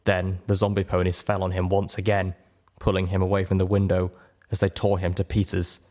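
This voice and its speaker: A man's voice: low-pitched, completely flat delivery, normal speed, a little mellow. A man reading a story aloud as narrator, in dramatic first-person audiobook style.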